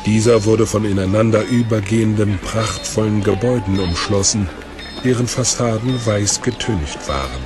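A man's voice: on the low side, normal speed. A man narrating an audio drama from a script. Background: music.

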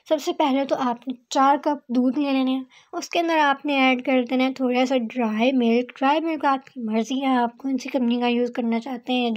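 A woman with a high voice talks through a recipe as a cooking host. Her delivery is casual and instructional, with English words mixed in. The sound is somewhat dull and lo-fi. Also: a little mellow. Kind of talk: recipe voice-over tutorial, casual.

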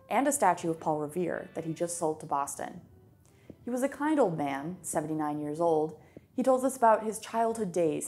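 A woman's voice: fairly low pitch, a little mellow. A young adult woman reading a diary entry aloud indoors as a guest reader. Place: indoor gallery room.

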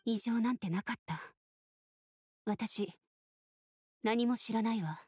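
Breathy, guarded woman in mourning. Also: a little high, takes it slowly, a little mellow, no room sound, clean studio sound. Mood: guarded, sad. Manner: curt, polite, withdrawn. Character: guarded, sorrowful grey-haired woman in mourning. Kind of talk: anime game voice acting.

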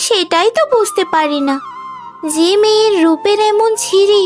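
A woman voicing a spiteful, sneering young unmarried sister-in-law in an animated moral story. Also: very high-pitched, normal speed, soft background music. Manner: sneering, scolding.

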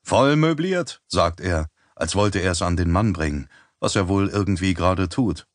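A man reading an audiobook as narrator in a measured, even storytelling manner on a close studio mic. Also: sits low, no room sound. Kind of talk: scripted narration.

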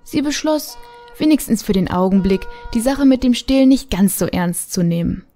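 A woman's voice: on the high side, expressive, normal speed, mellow, faint music behind, lightly acted. A woman narrating a radio play for children as its storyteller. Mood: storytelling.

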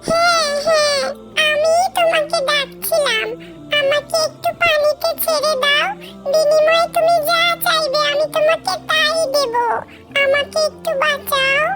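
Magical cartoon goldfish: tiny, ultra-high voice, entreating, over clear background music. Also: female voice, flat delivery, unhurried. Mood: desperate pleading. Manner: plaintive, entreating. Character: pleading magical talking golden fish. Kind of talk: cartoon voice acting.

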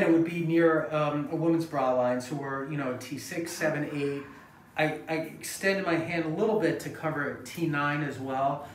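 A middle-aged man, a chiropractor, explaining a hands-on treatment in instructional, anatomical terms. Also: fairly high pitch. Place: small treatment room indoors. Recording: echoey room, distant mic, background noise.

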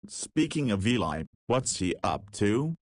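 A man's voice: on the low side, very animated, measured pace, dry recording, clean studio sound. An actor playing a cheeky, laid-back young man of nineteen in a visual novel, talking in a casual, wry way. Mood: curious, casual. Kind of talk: visual novel voice acting with inner monologue.

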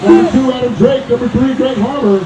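A man with a loud voice calling driver lineups and lap counts over the PA at an outdoor race track. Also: very high voice, a little fast, a crowd in the background. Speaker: race announcer. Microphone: PA loudspeaker. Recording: echoey PA heard from afar, noisy.

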